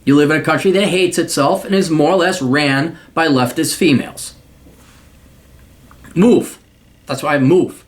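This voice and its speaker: Man, high voice, blunt, swearing, mocking, lecturing advice host. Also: measured pace, pauses often. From United States. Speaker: podcast host giving paid advice.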